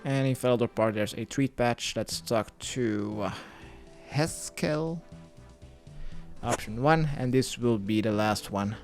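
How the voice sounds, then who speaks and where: A man's voice: mid-range voice, slow. A man narrating a step-by-step video game guide, giving plainly worded instructions as a tutorial voice-over. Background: music.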